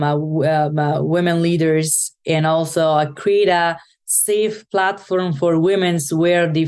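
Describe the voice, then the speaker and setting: A woman from Afghanistan with an Afghan accent, giving an earnest podcast interview, halting and restarting often. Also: fairly low pitch, darkish tone, smooth. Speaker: podcast guest, nonprofit founder.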